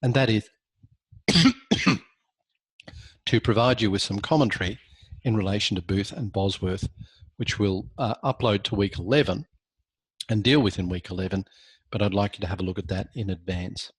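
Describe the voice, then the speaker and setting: A man lecturing on law in a recorded online class, measured, with frequent ums and self-corrections, and hoarse from losing his voice. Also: fairly low pitch, dry recording, poor audio. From Australia.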